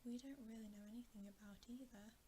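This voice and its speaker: A woman voicing a suspicious, sullen schoolboy from a storybook in a hushed, grudging whisper. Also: fairly high pitch, steady tone, brisk, lightly acted. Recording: faint background hiss.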